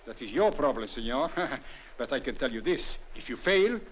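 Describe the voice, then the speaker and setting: An actor playing a menacing, smug Latin American general with formal, measured speech in a 1950s radio drama. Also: male voice, medium pitch, animated, close to an everyday voice, low-quality recording. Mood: smug menace. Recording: hissy old 1950s radio recording.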